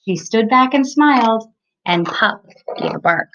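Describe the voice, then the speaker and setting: A woman reading a children's picture book aloud, with expressive, emphatic phrasing for young listeners. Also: fairly high pitch, a little slow. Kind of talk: children's storybook read-aloud. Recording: dull lo-fi recording.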